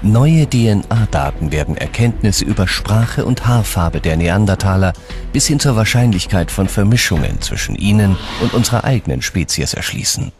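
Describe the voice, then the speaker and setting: A man narrates a documentary from a dramatic script in a low voice. His intonation is animated and moves widely. Music plays clearly behind him. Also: normal speed.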